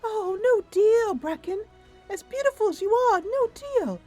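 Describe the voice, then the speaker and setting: A woman's voice: very high voice, lively intonation, unhurried, dark tone, soft background music, voice pushed up. A woman voicing a tiny, playful female water nixie in a fantasy roleplay, with a theatrical, gushing manner. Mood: indignant bargaining. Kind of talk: tabletop fantasy roleplay character.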